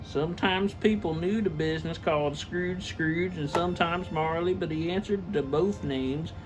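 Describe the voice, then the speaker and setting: A man's voice: fairly high pitch, moderate pace, over music, rough recording. A man reading a classic story aloud theatrically and emphatically, stumbling over the text and dropping in casual asides. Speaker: reader, show host.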